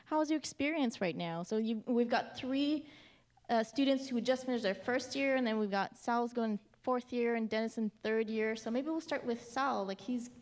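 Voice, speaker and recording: A woman hosting a student panel in a lecture hall, talking into a handheld mic. Her voice sits a little high and stays upbeat and chatty. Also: moderate pace. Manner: upbeat, chatty, laughs often. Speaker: panel moderator.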